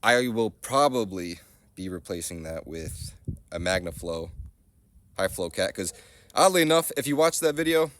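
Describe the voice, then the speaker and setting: A man's voice: low voice, lively intonation, moderate pace, fairly bright tone, dry recording. A man in his thirties with a stuffy head cold, talking loosely and self-deprecatingly while showing off his car outdoors on a phone camera. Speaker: car vlogger. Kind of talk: casual car walkaround vlog. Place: outdoors in a gravel driveway and inside a small car. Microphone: phone camera selfie view.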